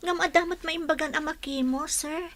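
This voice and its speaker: A woman's voice: high-pitched, steady tone, normal speed, fairly bright tone. An actress playing a caring, persistent female caregiver in a radio drama, speaking respectfully. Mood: gently coaxing. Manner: pleading, respectful. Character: caring, persistent young female caregiver coaxing her patient. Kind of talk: Ilocano radio drama acting.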